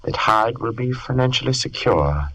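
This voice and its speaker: An actor playing an obsessed gentleman scientist with a dark secret, theatrical and intense, in an old radio horror drama. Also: medium pitch, animated, moderate pace, husky, heavily acted, low-quality recording. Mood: smoothly evasive.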